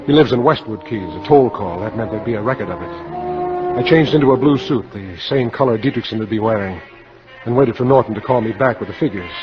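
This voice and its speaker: Guy playing hardboiled american noir killer, terse and flat delivery, old dull radio with loud music under it. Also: medium pitch, close to an everyday voice. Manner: terse, matter-of-fact. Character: hard-boiled insurance salesman confessing his murder plot. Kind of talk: 1950s radio drama acting, first-person noir narration.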